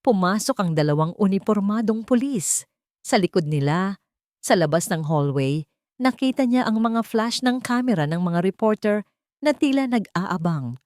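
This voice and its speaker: A woman's voice: mid-range voice, expressive, normal speed, a little mellow, dry recording, clean studio sound. A woman storyteller reading a scripted, dramatic story narration.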